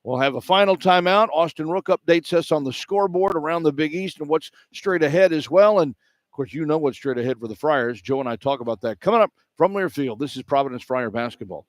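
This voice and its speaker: A man's voice: fairly high pitch, animated, a little fast, no room sound. Male radio play-by-play sports announcer. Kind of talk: sports broadcast commentary with statistics.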